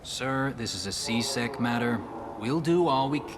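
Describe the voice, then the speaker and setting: A man's voice: medium pitch, expressive, unhurried, natural voice. An actor playing an officious space-station policeman in a video game, procedural and placating. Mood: official.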